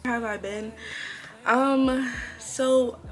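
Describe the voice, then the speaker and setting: A woman's voice: fairly high pitch, steady tone, extremely slow. A woman in her twenties talking casually and chattily to her viewers, like an informal chit-chat vlog with girlfriends. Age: 20s. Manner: chatty, informal, girl-talk address to viewers. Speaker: vlogger.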